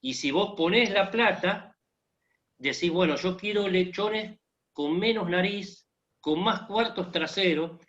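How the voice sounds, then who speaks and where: A man's voice: high-pitched, slow, a bit bright, dull audio. A man from Argentina with an Argentine accent, talking casually and joking as a guest in an online conversation, with many fillers. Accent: Argentine Spanish. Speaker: guest speaker.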